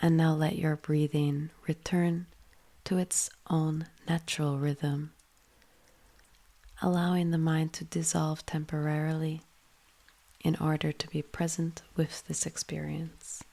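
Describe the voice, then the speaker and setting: A woman leading a guided yoga nidra meditation in a soothing, hushed manner, with phrases often trailing into breath. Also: low voice, little pitch movement, a little slow, gravelly.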